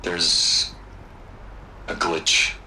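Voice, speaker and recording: An actor playing a smug, evasive male tech tycoon in a video game, talking in a smooth, ingratiating way. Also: very slow, bright, forceful tone, slight background noise, exaggerated voice.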